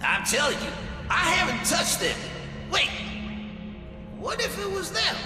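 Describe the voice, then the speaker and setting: A man's voice: very high-pitched, wildly swooping pitch, measured pace, full character voice. An actor playing a nervous, superstitious mine foreman in a video game, agitated and insistent. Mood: defensive. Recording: very echoey hall, music behind.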